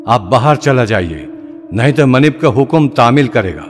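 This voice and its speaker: A man's voice: medium pitch, expressive, moderate pace, lightly acted. A man playing a stern Punjabi guard in an audio play, giving curt scolding orders. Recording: long hall reverb, music behind.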